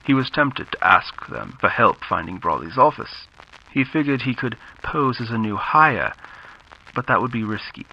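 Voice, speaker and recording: A man narrating a scripted horror story in the style of classic radio drama, with measured, dramatic delivery. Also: mid-range voice, big pitch swings, creaky. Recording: muffled lo-fi audio with background noise.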